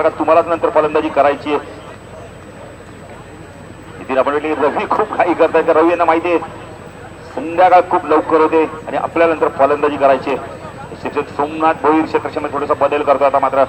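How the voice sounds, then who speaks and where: A man's voice: a little high, poor audio. A man with a Maharashtrian accent doing live cricket commentary and announcements. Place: outdoor cricket ground. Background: crowd.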